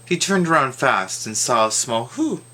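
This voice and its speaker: A man giving a theatrical, expressive dramatic reading of a rhyming children's story, drawing out words for emphasis. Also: medium pitch, normal speed.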